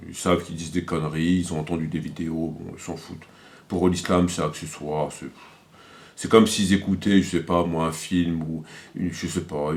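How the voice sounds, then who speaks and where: Male commentator, slightly deep voice, unscripted and halting delivery, indignant emphasis, addressing online viewers. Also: creaky, a little mellow. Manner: halting and repetitive, searching for words. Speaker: religious commentator and video presenter. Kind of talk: informal spoken critique addressed to viewers.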